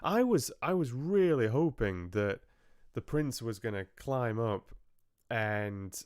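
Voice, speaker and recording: Male book club host, smooth and fairly low, close dry sound, rambling chatty delivery with a joking streak. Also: lively intonation, slow, darkish tone, no echo. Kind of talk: casual chat, musing on fairy tales with live chat.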